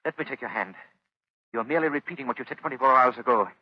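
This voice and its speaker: A man playing a grave, determined gentleman sleuth in a 1930s radio drama. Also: mid-range voice, fast, natural voice. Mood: desperate, determined. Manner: intense, brooding, dramatic. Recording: noisy, muffled 1930s radio recording.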